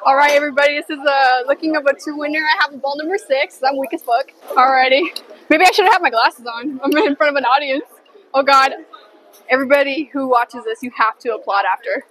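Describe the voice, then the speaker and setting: A woman's voice: high voice, fairly quick, a bit bright, low-quality recording. A woman in her twenties chatting and joking on camera, playfully announcing things and swearing, in a bowling alley. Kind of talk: casual joking chat on camera. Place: large busy bowling alley. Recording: clear background noise of bowling alley.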